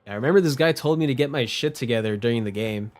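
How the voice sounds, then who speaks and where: A man's voice: medium pitch, fast. A man livestreaming a game, chatting casually in an informal, hesitant, rambling way with game music behind him. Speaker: game streamer.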